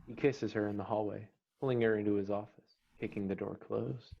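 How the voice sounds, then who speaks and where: A man's voice: lowish voice, steady tone, fairly quick, vocal fry, slight background noise. Terse male script narrator, slightly dull audio. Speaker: stage-direction narrator.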